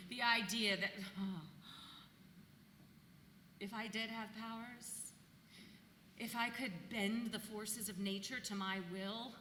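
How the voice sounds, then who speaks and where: A woman's voice: fairly high pitch, bright, forceful tone. A woman in a staged play reading, playing a bawdy, weary seventeenth-century village housewife with a mocking, emphatic way of talking. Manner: mocking, irreverent, emphatic storytelling. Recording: echoey hall, distant, noisy room tone.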